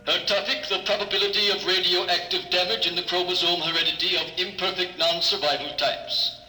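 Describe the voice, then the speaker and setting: Piercing, echoing hall announcer. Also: male voice, high voice, steady tone, a little fast, sharp tone, faint music behind. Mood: formal, proclaiming. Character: formal public announcer at an academic demonstration. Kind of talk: 1950s radio drama announcing. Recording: echoey, distant old radio recording.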